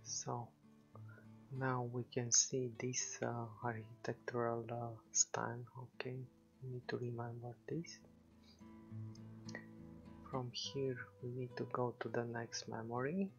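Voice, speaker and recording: Hushed, creaky, monotone man gaming. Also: slow. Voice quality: soft, low-volume voice. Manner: low-key, hushed, hesitant delivery. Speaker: gamer commentating a playthrough. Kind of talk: casual game commentary. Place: home in an apartment building, at night. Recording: dull lo-fi home recording. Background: plucked-string game music.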